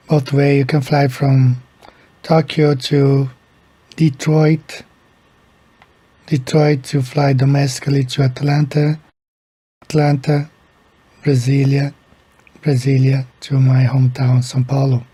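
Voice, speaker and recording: Slow, husky-voiced Brazilian-born man. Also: mid-range voice, dark tone, no echo. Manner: slow, halting, repeats words. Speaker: video creator. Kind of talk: casual explainer monologue.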